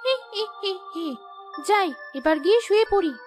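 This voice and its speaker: A woman's voice: very high voice, normal speed, a little mellow, faint music behind. A woman voicing a greedy, sly, snappish female ghost in a Bengali cartoon story. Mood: greedy, gloating. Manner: snappish, irritable, scolding.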